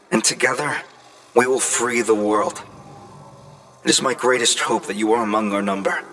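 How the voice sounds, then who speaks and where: A man playing an earnest inventor and leader in a comic dub, delivering a grave, measured, pleading message. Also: mid-range voice, natural voice. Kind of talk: dramatic comic dub acting. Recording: voice over background music. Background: music.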